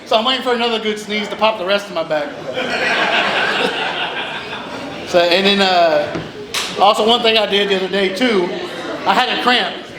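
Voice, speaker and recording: A man's voice: sits high, normal speed, pauses often. A man in his thirties doing rambling, self-deprecating stand-up, acting out bits of dialogue in a casual way. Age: 30s. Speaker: stand-up comedian. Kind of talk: stand-up comedy, casual joke telling. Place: small live comedy venue, through speakers. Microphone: stage microphone with PA. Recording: echoey live club with chattering crowd.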